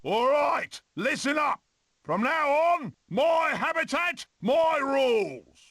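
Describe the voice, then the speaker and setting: A man voicing a cocky, eccentric weasel survivalist for an animated game, with a British accent, a gravelly voice and theatrical, bossy swagger. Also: extremely high pitch, slow, no room sound, studio quality, full character voice. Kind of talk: animated game character acting.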